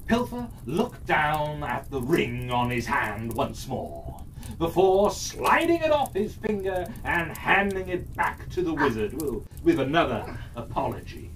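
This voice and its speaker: Fairytale narrator, dramatic and deliberate, frequent pauses, slightly distant mic with faint background noise. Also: medium pitch, sing-song, fairly bright tone. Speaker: storyteller.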